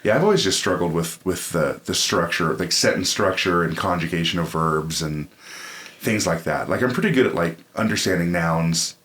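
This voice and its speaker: Brief: male podcast host, very deep voice, casual and affirming, summarizes the guest's points. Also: normal speed, fairly bright tone. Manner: friendly, affirming, talks in long summarizing turns. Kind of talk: casual interview chat.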